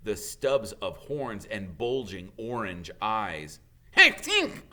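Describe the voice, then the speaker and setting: A man reading a children's story aloud as an expressive narrator, like a teacher reading to pupils. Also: lowish voice, slow pace.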